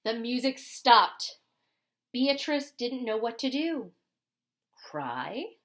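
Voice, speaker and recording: A woman reads a children's picture book aloud in a high, bright voice. Her delivery is very lively and expressive, with dramatic emphasis and pauses for young listeners. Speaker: storybook reader.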